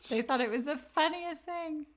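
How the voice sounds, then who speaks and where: Chatty mom hosting a family podcast. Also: female voice, high-pitched, normal speed, a little hiss or hum. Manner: chatty and affectionate. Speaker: podcast co-host, mother. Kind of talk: casual family podcast chat.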